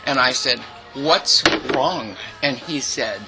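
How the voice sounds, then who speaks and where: A woman in her eighties with a loud voice, telling stories from her life in a chatty way and acting out what people said to her. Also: very deep voice, slow pace, music in the background, low-quality recording. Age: 80s. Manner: chatty, lively, quotes people in dialogue. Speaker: interviewee. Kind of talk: reminiscing storytelling.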